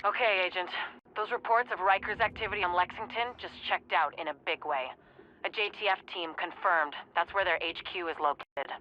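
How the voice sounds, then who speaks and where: A woman's voice: fairly low pitch, a little fast, lo-fi sound, slight background noise, lightly acted. A woman playing a determined, commanding task force leader briefing an agent in a video game. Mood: businesslike.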